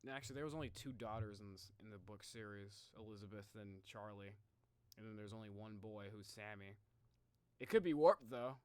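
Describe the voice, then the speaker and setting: A man streaming a casual reaction, thinking out loud in slangy talk and addressing his viewers directly. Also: medium pitch, dry recording. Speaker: streamer, video host. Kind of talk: casual livestream reaction chat.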